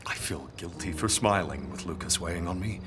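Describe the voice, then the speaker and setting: A man's voice: sits low, a little fast, music in the background, close to an everyday voice. A man playing a brooding, dutiful aristocratic heir in a period audio drama, speaking formally and earnestly. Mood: brooding, resolved.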